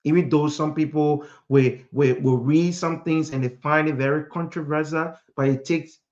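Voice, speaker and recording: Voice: mid-range voice, fairly even intonation, normal speed, a little mellow. Emphatic preacher from Africa, dull lo-fi sound. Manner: emphatic, repeats phrases for effect. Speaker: motivational speaker and preacher. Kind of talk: motivational sermon with rhetorical questions.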